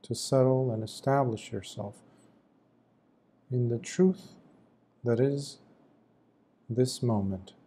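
Very mellow, slow-speaking man guiding meditation. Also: medium pitch, slightly rough. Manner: gentle, unhurried, with long pauses between short phrases. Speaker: meditation teacher, Buddhist monk. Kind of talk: guided meditation, slow spoken instruction.

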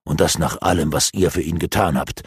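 Margok, a man doing a sneering dark elf. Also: fairly quick, breathy edge, no echo, studio quality, full character voice. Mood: sneering, persuasive. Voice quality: low, hushed and sneering. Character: gloating sinister male dark elf sorcerer.

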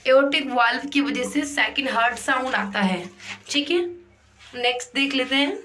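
A woman tutoring for a nursing exam, reading each question slowly and then explaining the answer in mixed Hindi and English. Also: high-pitched, a bit bright. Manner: mixes Hindi and English, repeats "ठीक है". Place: voice-over on screen recording.

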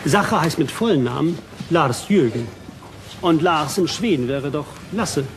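An actor playing a keen police detective piecing clues together in a German crime radio drama. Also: male voice, fairly high pitch, lively intonation, unhurried, music in the background. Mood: keen, deducing.

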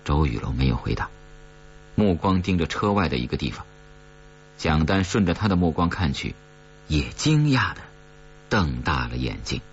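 A man's voice: a bass voice, very animated, moderate pace, long pauses. A man narrating a story as an audiobook reader, in a dramatic scripted style. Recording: dull recording with faint hum.

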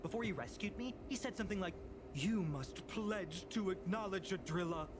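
A man's voice: high-pitched, moderate pace, close to an everyday voice. A man voicing a jaded, whiny young office worker in a video game, complaining with exasperated drama. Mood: incredulous, mocking. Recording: game audio with clear background noise.

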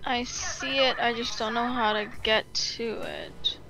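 A woman's voice: a little high, huge pitch swings, unhurried, vocal fry, poor audio. A woman chatting casually as a teammate in an online game, sweary and teasing. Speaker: co-op gaming teammate. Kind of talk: casual gaming chat. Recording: game music behind the voice. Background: video game music.

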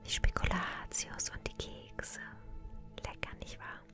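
Casting: woman, 30s, whispered ASMR shopkeeper, gentle and polite, close-miked over soft music. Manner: gentle, unhurried, polite customer service. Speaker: ASMR creator playing a tea shop owner. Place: small indoor room. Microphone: close to the microphone. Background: relaxing music.

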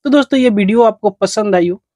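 A man's voice: sits high, quick pace, darkish tone, dry recording. A man narrates a step-by-step phone tutorial as its host, in repetitive, instructional phrasing.

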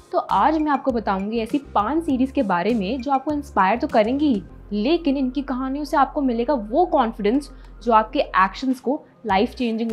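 A young woman in her twenties presenting a studio entertainment segment, lively and mixing English into Hindi as she gestures. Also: a little high. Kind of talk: scripted video presentation. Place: studio, in front of a blue-purple backdrop.

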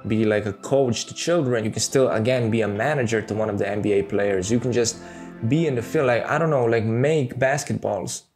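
A man's voice: mid-range voice, a little fast, darkish tone, faint music behind. A man in his thirties with a Serbian accent, talking candidly to camera in a casual home video, close to a studio mic. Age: 30s. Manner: candid and conversational, with many fillers. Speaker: YouTuber. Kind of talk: casual talking-head monologue. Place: small room at home, indoors. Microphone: studio mic on boom arm, close.

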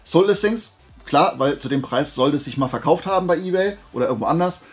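A man's voice: fairly high pitch, lively intonation, a little fast. A man chatting casually and colloquially as he hosts a show-and-tell haul video, with an enthusiastic collector's manner. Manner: conversational, colloquial, enthusiastic collector's chat with self-posed questions. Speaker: host of a flea market haul video.

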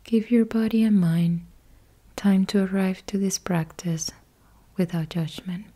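A woman leads a guided sleep meditation as a yoga nidra instructor, speaking in a soothing hush with short measured phrases and soft, breathy endings. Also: medium pitch, fairly even intonation.

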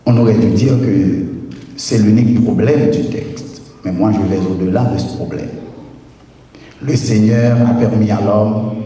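A man preaching a deliberate, measured wedding sermon from a pulpit, holding a handheld microphone in a large church. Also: sits low, warm tone. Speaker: pastor. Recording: echoey church hall from far off.

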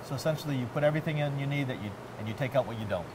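A man's voice: mid-range voice, rapid-fire. A man in his thirties hosting a conversational tech interview in a casual, geeky, enthusiastic way. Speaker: host interviewing at a tech trade event. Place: large indoor warehouse staging space. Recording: clear background noise of a warehouse.

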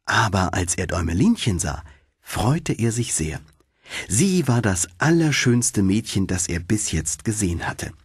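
Deep, husky male fairy-tale narrator. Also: dry recording, studio quality. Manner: expressive storytelling. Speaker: audiobook narrator.

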